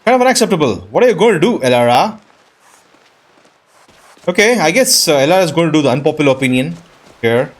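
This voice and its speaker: High-pitched, animated male gamer. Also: fast, long pauses. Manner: informal, reactive. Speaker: gaming video host playing and commenting. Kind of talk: casual game commentary, thinking aloud. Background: game music.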